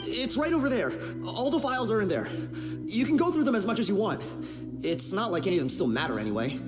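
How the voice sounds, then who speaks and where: An actor playing a disgruntled, bitter desert brigand in a video game, dismissive and excitable. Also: male voice, sits high, quick pace. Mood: defensive. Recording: faint game music behind the voice.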